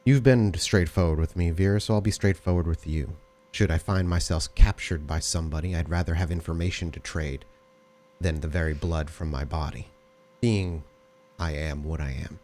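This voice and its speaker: A man voicing a guarded, blunt female dance teacher in a tabletop game, with deliberate, formal phrasing. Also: deep voice, darkish tone, slight background noise, natural voice. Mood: guarded, wary.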